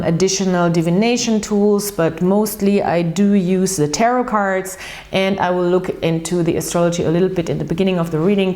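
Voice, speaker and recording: A woman's voice: fairly low pitch, a little mellow. A woman from Austria with an Austrian German accent, her first language German, giving a casual monthly tarot and astrology reading in a chatty, rambling way with many ums and restarts. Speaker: tarot reader.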